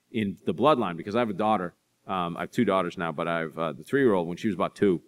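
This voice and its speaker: A man in his forties telling animated, self-deprecating stories to an audience on a library stage. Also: low voice. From Boston area. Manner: animated storyteller, acts out voices in anecdotes, self-deprecating. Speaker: author speaking to an audience. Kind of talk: storytelling talk and audience Q&A. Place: library stage in front of a curtain.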